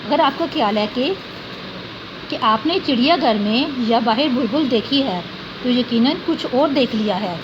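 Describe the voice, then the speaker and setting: A woman's voice: a little high, animated, unhurried. Woman teacher reading and glossing words. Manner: reads a sentence, then glosses hard words with "yani". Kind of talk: reading a text aloud and explaining words for students. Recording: dull, lo-fi recording with clear background noise.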